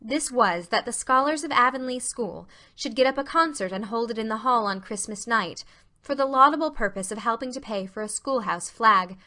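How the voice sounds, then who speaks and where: A woman's voice: on the high side, bright, forceful tone. A woman narrator reading a classic novel as scripted storybook narration.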